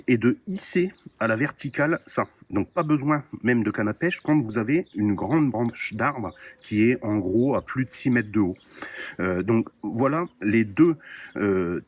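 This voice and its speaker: A man showing his radio kit to the camera in a casual, explanatory way, often pausing with hesitant fillers. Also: medium pitch, slight background noise. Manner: explanatory, many "euh" pauses. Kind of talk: casual gear show-and-tell.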